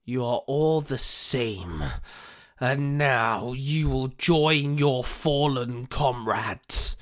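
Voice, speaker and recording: A man's voice: mid-range voice, extremely slow. A man voicing a bitter, contemptuous armored villain with a growl, drawing out his words in an audio story. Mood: menacing.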